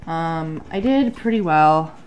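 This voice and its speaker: A woman's voice: low voice, lively intonation, takes it slowly, a little mellow, a little hiss or hum. A woman in her thirties with a nasal voice from a sinus infection, chatting casually through her journal at a home desk with lots of ums. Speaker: bullet journal vlogger. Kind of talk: casual chat. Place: home desk under an overhead light.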